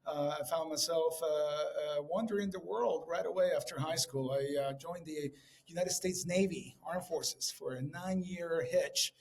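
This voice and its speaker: A middle-aged man from Colombia, slightly high-voiced, talks through his career as a podcast guest. He rambles warmly with many fillers and animated asides. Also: a little slow, creaky. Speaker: podcast guest, creative director. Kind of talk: casual interview talk.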